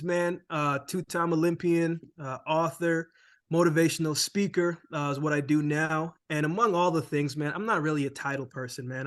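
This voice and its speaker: A man from Jamaica, a former sprinter, telling his story as a guest in a casual interview, relaxed and tagging lines with "man" and "right?". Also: fairly high pitch.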